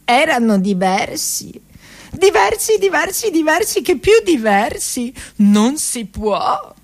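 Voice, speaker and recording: A woman's voice: high-pitched, sing-song, takes it slowly, vocal fry. A woman performing tragicomic radio theatre storytelling, dramatic and emphatic, drawing out words for effect.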